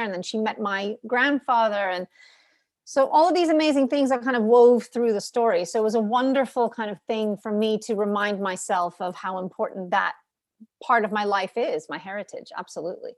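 A woman's voice: mid-range voice, fairly quick, no echo, studio quality. A woman in her thirties from New York, whose first language is Ukrainian, telling her family's story as an author guest in a chatty way with many fillers. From New York, USA. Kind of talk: casual interview answers.

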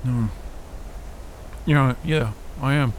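A grumbling, irritable young scientist. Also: male voice, medium pitch, a little hiss or hum, low-quality recording. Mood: groggy, confused. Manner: grumbling, sweary, halting. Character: groggy, irritable, freezing young male astrophysicist. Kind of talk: naturalistic audio drama acting.

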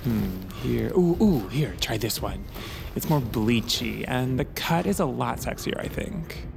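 A man's voice: mid-range voice, lively intonation, unhurried, gravelly, background noise. An actor playing a flamboyant, cheeky wig-shop clerk in an audio drama, effusive and slangy, drawing out his words. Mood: gushing, cheeky. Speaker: shop assistant. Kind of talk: audio drama dialogue, casual chat. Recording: music playing behind.

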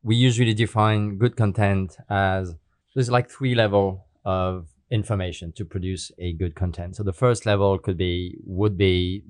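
Low-voiced man explaining methodically. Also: steady tone, moderate pace, a little mellow, dry recording. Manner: careful, methodical, with non-native phrasing. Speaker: guest speaker. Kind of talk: explanatory interview answers.

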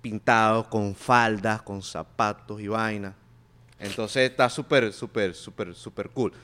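A young man chatting as a podcast guest, easygoing and slangy, often trailing into a low mutter. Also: fairly even intonation, a little slow, a bit bright. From Korea. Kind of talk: casual chat.

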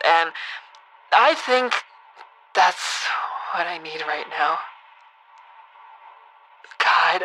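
An actress playing a troubled, tipsy shooting survivor in an audio drama, halting and hesitant as she leaves a voicemail. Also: mid-range voice, pushed and bright, rough recording. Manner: halting, hesitant, with nervous laughs. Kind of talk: naturalistic audio drama acting.

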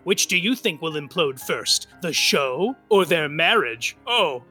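An actor playing a bitter, gossipy theater veteran in an audio comedy, with an arch, theatrical manner. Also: male voice, on the high side, expressive, unhurried, bright, forceful tone, slightly rough, faint music behind, full character voice.

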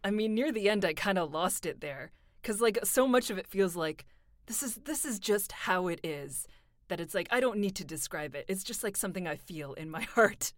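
A woman's voice: medium pitch, lively intonation, moderate pace, no echo, clean studio sound. A woman talking casually as a voice actor on an interview panel, in informal conversational speech. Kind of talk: casual chat.

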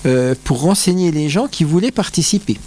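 A man's voice: fairly high pitch, expressive, normal speed, darkish tone. An older man from the Vosges in France, telling his story as an interview guest in a reflective, explanatory, sometimes hesitant way. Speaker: interview guest, carnival founder.

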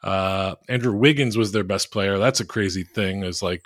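A man co-hosting a podcast over a call, rambling and self-mocking in a flat monotone with crude jokes. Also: deep voice, moderate pace, dry recording, studio quality. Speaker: podcast co-host. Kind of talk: casual podcast chat.

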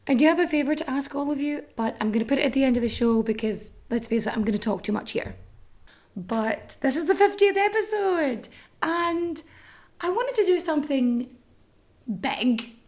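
Woman host, a bit croaky, swears freely, higher voice. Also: expressive, moderate pace, darkish tone. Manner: chatty, swearing, self-mocking storyteller. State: voice still croaky from strain. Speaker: podcast host. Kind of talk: casual comic monologue.

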